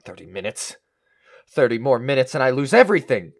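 A man's voice: medium pitch, sing-song, brisk. An actor playing a greedy, paranoid man coming apart in an audio drama, agitated and theatrical. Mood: frantic accusing. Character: greedy, paranoid man unravelling into murderous madness. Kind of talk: audio drama acting with first-person narration.

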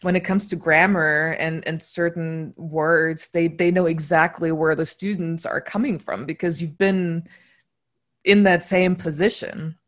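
A woman whose first language is German, hosting a podcast interview in English, speaking in a thoughtful, measured way. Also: sits low, fairly even intonation. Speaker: podcast host.

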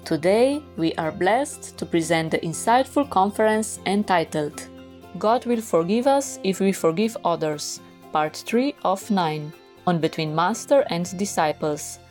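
A woman's voice: on the low side, lively intonation, unhurried, a little mellow. A woman reads scripted programme narration in a formal, reverent manner. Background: faint music.

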